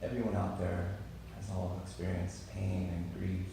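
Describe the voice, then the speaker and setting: A man hosting an interview, asking calm, brief questions about the guest's life. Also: low voice, measured pace, gravelly, very echoey, far from the mic, background noise. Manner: calm, brief prompts and reactions. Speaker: interviewer, host. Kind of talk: casual interview.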